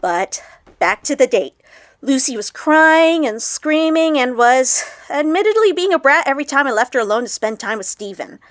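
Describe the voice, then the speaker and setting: A woman playing an awkward, excitable young woman vlogger in an audio drama, chatty and expressive. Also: high-pitched, moderate pace, no echo. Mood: exasperated, rambling. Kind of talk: audio drama acted as a confessional vlog.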